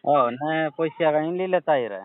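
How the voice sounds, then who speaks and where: A man's voice: fairly high pitch, measured pace, slight background noise. A man talking casually on a phone call, giving brief, laconic replies. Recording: narrow phone line.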